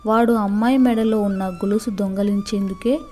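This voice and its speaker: A woman's voice: medium pitch, fairly even intonation, moderate pace, warm tone, soft background music, lightly acted. A woman storyteller voicing an emotional, worried village mother in a children's story. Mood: distressed. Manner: emotional, lamenting.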